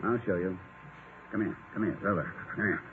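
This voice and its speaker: An actor playing a courteous, wordy married college doctor who is irritated and wry, in 1950 radio comedy style. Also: male voice, low-pitched, a little fast, lightly acted. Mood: wry exasperation. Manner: genteel, flustered, wry. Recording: noisy narrow-band vintage radio recording.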